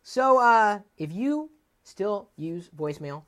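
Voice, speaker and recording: A man's voice: high-pitched, lively intonation, slow. A man hosting a live tech show with casual commentary, griping in a comically exasperated manner. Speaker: host.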